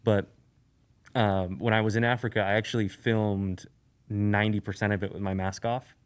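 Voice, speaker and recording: Guest from Eastern Europe, a man with a slightly low voice; loose, rambling delivery full of "you know" and restarts. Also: moderate pace, clean tone. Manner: relaxed, rambling, many fillers and restarts. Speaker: guest, sneaker YouTuber. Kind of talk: casual podcast conversation.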